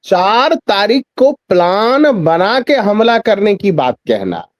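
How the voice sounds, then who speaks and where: A man's voice: high voice, sing-song, slow, dry recording, lo-fi sound. A man giving extended political commentary as a guest in a news discussion, emphatic, repeating phrases and posing rhetorical questions.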